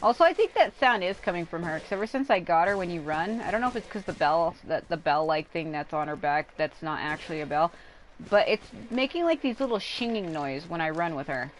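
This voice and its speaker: A woman doing casual, rambling livestream commentary while playing a video game, informal and quick to exclaim at what happens. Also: on the low side, lively intonation, slight background noise, rough recording. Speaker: game streamer. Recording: game music behind the voice. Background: video game music.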